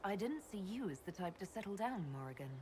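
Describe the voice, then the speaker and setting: A woman's voice: mid-range voice, very animated, a little fast, dark tone, a little hiss or hum, close to an everyday voice. A woman voicing a direct, questioning leader. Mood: curious. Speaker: player character, leader of an order. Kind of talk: video game cutscene dialogue.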